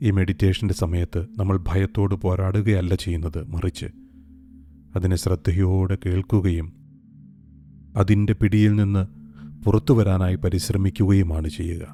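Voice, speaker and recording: A man narrating a scripted guided meditation in a calm, soothing, unhurried way with long pauses. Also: low voice. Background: soft meditation music with singing bowls.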